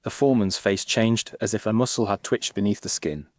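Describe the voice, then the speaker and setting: A man narrates a Victorian detective tale in a low register. His delivery is measured and literary, with formal phrasing. The sound is dry and close, with hardly any room to it. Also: dry recording.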